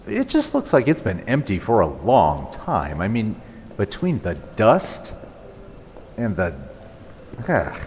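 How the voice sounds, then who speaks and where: A doubtful, easily awed adventurer in a fantasy audio drama. He speaks very slowly and haltingly, with lively swings of pitch. Long hall reverb surrounds the voice. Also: full character voice. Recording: long echoing hall, faint noise.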